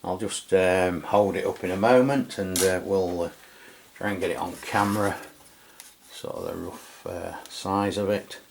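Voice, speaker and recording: A man's voice: low voice, fairly even intonation, measured pace, vocal fry, a little hiss or hum. A man from England giving a casual, hesitant product review with many fillers. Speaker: radio reviewer. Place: home radio room.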